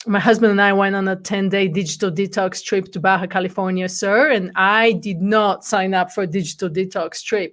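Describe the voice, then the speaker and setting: Woman in her forties, interview guest, candid, frequent ums. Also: mid-range voice. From Bulgaria. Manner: frequent ums and light laughter, candid storytelling. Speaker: guest speaker. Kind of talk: casual interview chat.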